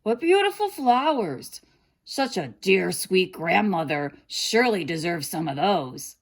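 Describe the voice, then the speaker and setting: A woman's voice: lowish voice, very animated, unhurried, heavily acted. A woman voicing a sly, greedy wolf villain from a children's storybook, wheedling and gloating. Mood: false sweetness. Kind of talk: children's storybook character reading.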